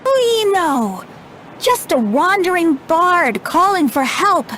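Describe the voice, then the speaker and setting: Youthful male game bard, played by a woman: high, lilting, unhurried, breezy and coy. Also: big pitch swings, fairly bright tone, slight background noise, full character voice. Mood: playful. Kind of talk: video game voice acting.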